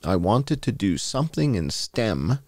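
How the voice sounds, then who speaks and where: A man's voice: fairly low pitch, sing-song, unhurried, darkish tone, slightly rough, no echo, studio quality. A man hosting a show, reading a published article aloud as narration.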